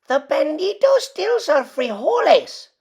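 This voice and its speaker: A woman voicing a small, excitable, bossy male chihuahua bandit in a storybook, squeaky and emphatic. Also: a little slow, exaggerated voice. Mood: warning.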